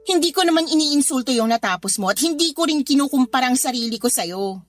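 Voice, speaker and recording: An actress playing a worried, exasperated pregnant young wife arguing in a radio drama. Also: female voice, high voice, animated, fast. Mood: angry, defiant. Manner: exasperated, scolding, argumentative. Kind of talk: Tagalog radio drama acting. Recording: music bed behind the voice.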